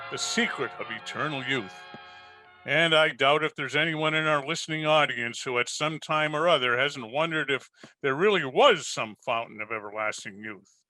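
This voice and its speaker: A man delivering scripted narration as an old-time radio host, formal and theatrical as he presents a play. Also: mid-range voice.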